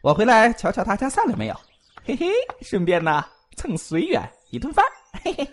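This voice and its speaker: A man's voice: high-pitched, wildly swooping pitch, fairly quick, heavily acted. A man voicing a talkative, joking young male physician who gossips and pleads comically in a multi-cast audio drama. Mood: teasing. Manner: bantering, giggly, exaggerated pleading.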